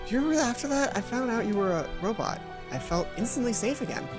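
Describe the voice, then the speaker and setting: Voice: medium pitch, expressive, quick pace, over music, pitched above the performer's own voice, lightly acted. A performer voicing an anxious, eager schoolgirl in a visual novel game, earnest and smitten.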